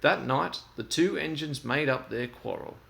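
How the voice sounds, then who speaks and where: A man reading a children's story aloud in an expressive storytelling style. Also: medium pitch, measured pace, vocal fry.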